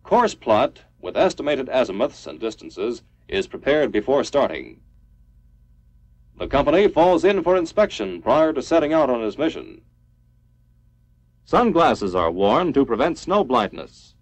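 A man's voice: mid-range voice, big pitch swings, unhurried. A man reading a scripted 1950s military training film narration in a formal, clipped, instructional manner. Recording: dull lo-fi 1950s film soundtrack.

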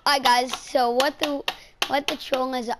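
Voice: sits high, level tone, moderate pace, background noise. A brash, swearing gamer hosting a video and chatting casually with friends over voice chat. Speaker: video game streamer and host.

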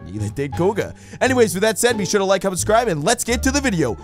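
A man's voice: sits high, huge pitch swings, quick pace, soft background music. A man voicing a cocky, playful giant reptile monster puppet, bantering and boasting as he hosts a comic reaction show. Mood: teasing, then upbeat. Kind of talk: casual comic puppet commentary.

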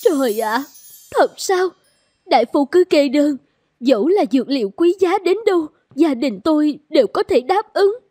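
Devoted cartoon mother, anxious, high-pitched, pleading, fairy tale, light music behind. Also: lively intonation, moderate pace, dark tone, no echo, studio quality. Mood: hopeful. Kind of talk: cartoon dubbing.

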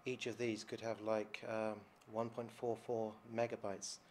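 A man's voice: lowish voice, little pitch movement, unhurried, darkish tone, a little hiss or hum. A man casually narrating as he explores an abandoned hospital, chatty and curious, explaining what he finds. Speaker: urban explorer host.

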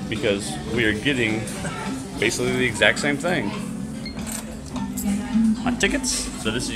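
A man in his thirties hosting a casual travel vlog, excitable and quick to laugh. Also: mid-range voice. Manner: upbeat, excitable, laughs often. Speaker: vlog host. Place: restaurant and street, indoors and outdoors.

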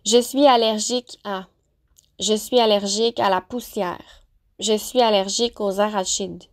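A woman teacher narrating a lesson, pronouncing example phrases slowly and carefully. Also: medium pitch, steady tone. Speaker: French-as-a-second-language teacher. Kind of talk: clear, deliberate vocabulary lesson narration.

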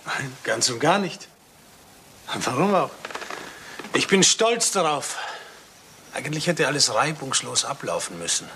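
An actor playing a polite, cultured gentleman murderer in a German radio crime drama. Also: mid-range voice, a little hiss or hum.

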